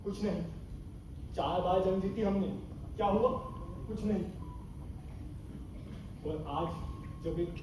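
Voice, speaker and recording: A man's voice: slow pace, long pauses. A young man acting an embittered, lustful Indian army captain in a stage play, theatrical and menacing, recorded from far off in a very echoey, noisy hall. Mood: angry. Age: young adult. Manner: theatrical, swinging from low insinuation to shouted outbursts. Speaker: student stage actor. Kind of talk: stage play acting in a one-act drama.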